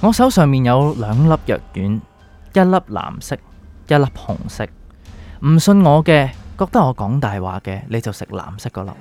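A man plays a calm, secretive doctor in a Hong Kong Cantonese radio drama. His mellow voice rises and falls widely as he probes and explains in measured, enigmatic phrases, with music underneath. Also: medium pitch, natural voice. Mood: cryptic, grave. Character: calm, cryptic male doctor with a secret identity.

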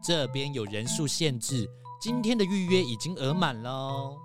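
Woman playing a stern, low-voiced fox. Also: animated, normal speed, over music. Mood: suspicious, strict. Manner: curt, strict. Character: stern, unwelcoming fox guarding a shelter. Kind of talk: children's audio drama acting.

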